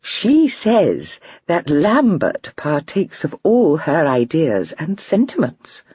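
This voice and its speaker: A woman reading aloud, voicing an excitable, teasing young lady in a high, breathy, girlish voice. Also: normal speed, no room sound, muffled recording, full character voice. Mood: chattering.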